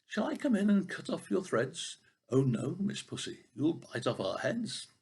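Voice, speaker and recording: A man's voice: fairly high pitch, sing-song, a little slow, vocal fry, darkish tone, breathy edge, voice pushed up, exaggerated voice. An older man voicing tiny, cheeky tailor mice in a storybook, chanting in a sing-song way. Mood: teasing, sing-song.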